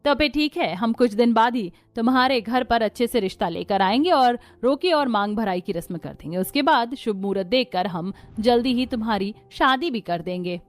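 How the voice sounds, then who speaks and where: A woman's voice: fairly high pitch, expressive, moderate pace, few pauses, lightly acted. A woman playing a kindly middle-aged mother in a moral story, reassuring and persuasive. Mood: pleased, reassuring. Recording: music under the voice.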